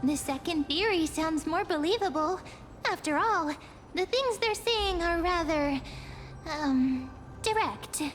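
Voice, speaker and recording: Very high-pitched girlish companion. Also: animated, slow pace, faint music behind, full character voice. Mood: hesitant. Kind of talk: video game voice acting.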